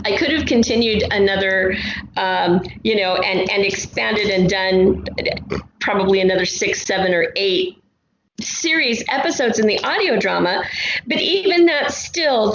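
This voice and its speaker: A woman's voice: mid-range voice, fairly bright tone. Roomy-sounding woman, reflective interview guest. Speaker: audio drama writer and author guest. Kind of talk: casual interview chat. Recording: dull, roomy sound with faint noise.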